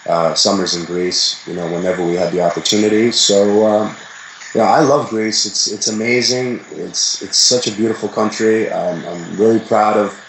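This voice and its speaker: A young man from the Bronx, chatting casually as an interview guest, relaxed and rambling with many fillers. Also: lowish voice, moderate pace, echoey room, a little hiss or hum, poor audio. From the Bronx, New York.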